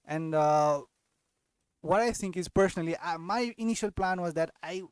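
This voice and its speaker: A man's voice: high voice, normal speed, clear, resonant tone, no echo. A young man from Nepal hosting a casual fantasy football live-stream Q&A, often restarting sentences and adding tag questions. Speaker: fantasy football channel host.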